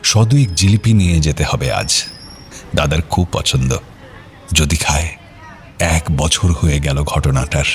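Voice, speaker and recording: A man's voice: very deep voice, unhurried, vocal fry, long pauses, a little hiss or hum. A man narrating an audio drama as storyteller, in a dramatic scripted reading style. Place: studio. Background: music.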